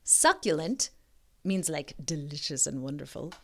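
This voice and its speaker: A woman's voice: low voice, big pitch swings, measured pace, a bit bright. A woman reading a picture book aloud expressively, stopping for teacherly asides to the listener. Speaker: reading tutor.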